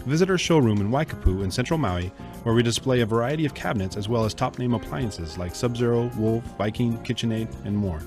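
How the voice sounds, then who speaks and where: Male radio ad voice, polished promo read, middle pitch, backing music. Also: expressive, quick pace. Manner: smooth promotional delivery. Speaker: ad announcer.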